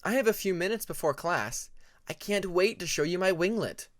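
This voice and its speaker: A man's voice: high-pitched, animated, normal speed, fairly bright tone, voice pushed up. A man voicing an earnest, friendly young male dragon student in a storybook reading, upbeat and encouraging. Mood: excited.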